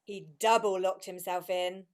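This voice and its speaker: A woman's voice: mid-range voice, flat delivery, measured pace, a little mellow. A woman narrating a scripted story in the manner of a radio play.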